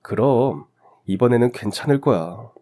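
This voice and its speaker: A man's voice: mid-range voice, animated, normal speed, mellow, close to an everyday voice. A man voicing a devoted, affectionate young husband and doting father in a radio story drama. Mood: reassuring.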